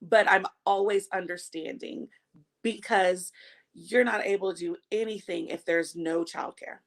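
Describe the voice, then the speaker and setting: Sex: woman. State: slightly hoarse from a cold. Role: interview guest on a video call. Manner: nervous, rapid, rambling, full of ums. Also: lowish voice, fairly even intonation. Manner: nervous, quick, rambling with many fillers. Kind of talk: conversational interview.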